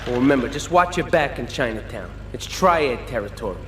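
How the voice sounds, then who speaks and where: An actor playing a street-tough mobster who hands out criminal jobs in casual slang in a video game. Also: male voice, mid-range voice, expressive, brisk, close to an everyday voice. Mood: bossy, tough. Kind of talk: video game cutscene acting. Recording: reverberant game audio with noise.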